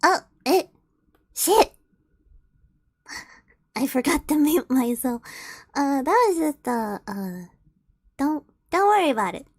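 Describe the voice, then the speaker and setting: A woman's voice: high-pitched, very animated, takes it slowly, long pauses, dry recording. A young woman streams and reads fanfiction aloud in a giggly, flustered way, with frequent laughs. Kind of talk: casual stream chat and read-aloud.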